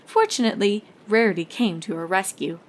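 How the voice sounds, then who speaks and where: A woman's voice: mid-range voice, a little fast. A woman reading scripted story narration as the narrator of a fan fiction tale.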